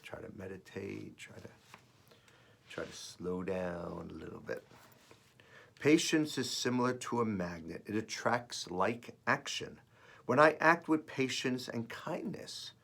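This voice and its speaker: A man with a raspy, slightly under-the-weather voice, reading and reflecting as host of an earnest spiritual message, with deliberate pauses. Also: on the low side, a bit bright. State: voice rough while recovering from feeling unwell. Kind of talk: devotional reading and personal reflection.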